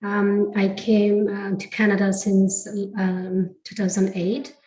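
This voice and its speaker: Woman, from Afghanistan, hesitant, mellow tone, distant roomy call audio. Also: medium pitch, level tone, takes it slowly. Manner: hesitant, many um fillers. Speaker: guest, community founder. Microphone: video call mic. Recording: distant echoey video call.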